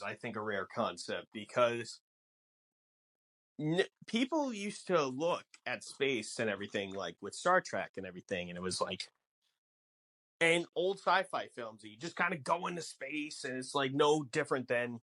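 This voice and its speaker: Man, podcast co-host, very lively intonation, emphatic rambling explanations, dry recording. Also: medium pitch, normal speed, no echo. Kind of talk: casual film discussion podcast.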